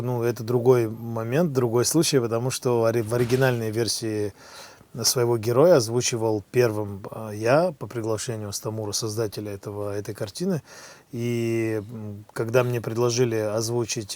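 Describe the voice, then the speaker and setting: A man who works as a voice actor, giving a measured interview in halting, formal phrases. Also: mid-range voice, steady tone, a little mellow. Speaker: cartoon voice actor.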